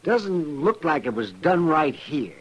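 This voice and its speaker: An actor playing a blunt frontier town doctor in a 1950s radio western. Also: male voice, a little high, very animated, slow. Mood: puzzled, concerned. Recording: faintly noisy 1950s radio recording.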